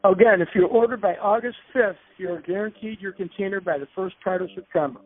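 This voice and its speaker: Halting man on a tinny phone line. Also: high voice, soft background music. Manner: halting, hesitant delivery that circles back to restate points. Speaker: company presenter leading a dealer conference call. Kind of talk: informal business presentation over slides. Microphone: telephone.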